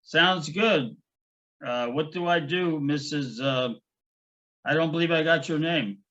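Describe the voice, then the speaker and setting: A man's voice: fairly high pitch, slow, sharp tone. A man voicing a slightly insolent eighteen-year-old piano player. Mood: cheeky. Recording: dull lo-fi recording.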